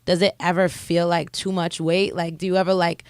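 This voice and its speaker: A woman's voice: lowish voice, flat delivery, normal speed, darkish tone, smooth, no room sound, clean studio sound. A woman co-hosting a radio interview, asking earnest and playful questions. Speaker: co-host.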